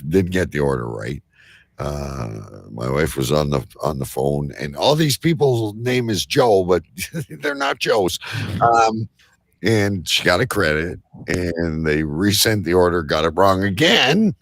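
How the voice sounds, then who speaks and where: A man hosting a live show in rambling, casual banter, halting at times and then suddenly emphatic. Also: sits low, very animated, no room sound, clean studio sound. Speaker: host of a live online show.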